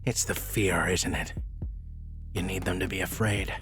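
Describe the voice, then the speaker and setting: A man playing a tough, cool and dangerous character in an audio drama, with a measured delivery. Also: low voice, steady tone, gravelly, fairly bright tone, soft background music, heavily acted. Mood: cool taunting. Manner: measured, taunting.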